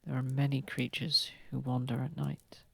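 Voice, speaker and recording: A man voicing a gentle, courtly old vampire in an ASMR roleplay, speaking softly and tenderly, often close to a whisper. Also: medium pitch, level tone, no room sound, full character voice. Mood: sorrowful, comforting. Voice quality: soft, breathy, slightly gravelly, often near whisper. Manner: tender, polite, unhurried, soothing. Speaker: ASMR voice actor. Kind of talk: ASMR roleplay, soft intimate acting. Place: close, dry recording. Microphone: close microphone.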